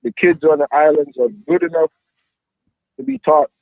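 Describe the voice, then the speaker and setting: A man's voice: a little high, expressive, normal speed, dry recording. A man from Jamaica with a Jamaican accent, a youth football coach answering interview questions reflectively, with many "you know" fillers. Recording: dry tinny phone-like line.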